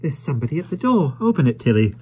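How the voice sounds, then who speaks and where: A man voicing a hearty, plain-spoken carrier who talks loudly and chuckles. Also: on the high side, sing-song, fast talker, a little hiss or hum, voice pushed up.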